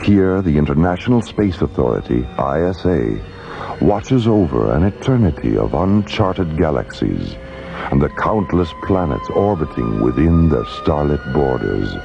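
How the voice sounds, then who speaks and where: A man narrating a science fiction radio drama in a solemn, measured, documentary style over music. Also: rumbling low, very animated, creaky, lo-fi sound, exaggerated voice.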